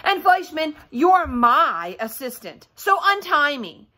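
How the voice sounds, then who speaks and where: A woman's voice: high voice, very animated, takes it slowly, sharp tone, voice pushed up, heavily acted. A woman voicing a bossy, fierce young girl from a children's storybook, demanding and indignant.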